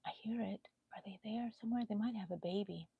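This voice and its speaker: Woman host, middle-aged, from Texas; rambling live-stream chat, animated, mid-pitched, drops to whispers. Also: fast talker, gravelly, breathy edge, dry recording, phone-quality sound. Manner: chatty and animated, swinging from loud to soft whispers. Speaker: crochet channel host.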